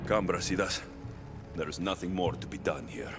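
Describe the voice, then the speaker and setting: An actor playing a blunt, dry-witted mercenary hero in a video game. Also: male voice, low-pitched, normal speed, music in the background, natural voice. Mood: earnest.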